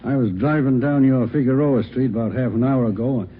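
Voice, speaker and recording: A man's voice: mid-range voice, brisk, close to an everyday voice. An actor playing a talkative, self-satisfied male tourist in a folksy 1950s radio drama style. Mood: excited, boastful. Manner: folksy, boastful, chatty. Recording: tinny, faintly noisy old radio recording.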